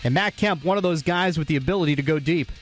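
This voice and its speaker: An American man hosting a radio sports recap, narrating game highlights. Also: fast talker, faint music behind.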